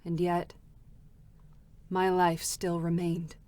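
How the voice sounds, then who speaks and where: A woman's voice: fairly low pitch, monotone, dark tone. A woman voicing a world-weary, bitter android soldier haunted by loss, in a grave, dramatic game-story reading. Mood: mournful. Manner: slow, brooding, grave delivery. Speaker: voice actor.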